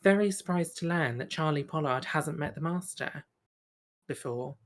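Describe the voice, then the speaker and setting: A woman with a notably low-pitched voice co-hosts a casual review show. She talks informally and playfully, as if among friends. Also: normal speed. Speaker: podcast co-host. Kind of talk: casual chat reviewing an audio drama.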